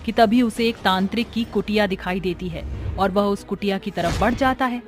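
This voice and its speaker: A woman's voice: medium pitch, moderate pace. A woman reading scripted horror storytelling narration as the story's narrator. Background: background music.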